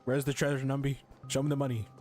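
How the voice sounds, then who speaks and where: A man's voice: medium pitch, little pitch movement, quick pace, a little mellow, soft background music. A young man doing casual gameplay commentary as a streamer, rambling and joking in slang. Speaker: video game streamer.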